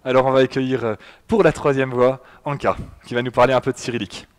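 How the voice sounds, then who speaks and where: A man's voice: mid-range voice, steady tone, fast talker, clear, resonant tone. Guy lecturing a crowd, chatty, keeps asking the audience stuff. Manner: chatty, addresses the audience directly. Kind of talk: public lecture. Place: public hall.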